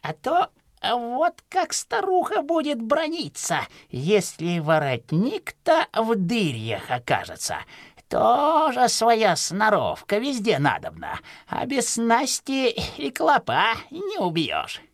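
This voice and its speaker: An actor playing a stooped, folksy old peasant hunter in a children's audio play, grumbling yet kindly and muttering to himself. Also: male voice, very high-pitched, wildly swooping pitch, slow pace, no echo, clean studio sound, exaggerated voice. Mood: scheming.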